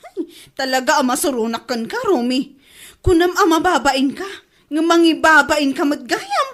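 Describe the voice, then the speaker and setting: Female actor as scolding village wife, fed up, Ilocano accent, high voice with animated swings, comedy radio drama. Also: normal speed. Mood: scolding, exasperated. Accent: Filipino, Ilocano. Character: exasperated, nagging wife scolding her drinking husband.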